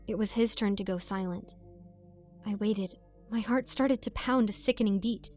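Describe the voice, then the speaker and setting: A woman's voice: mid-range voice, moderate pace, a little mellow, faint music behind. A woman reading a scripted first-person horror story as its narrator, in a dramatic style.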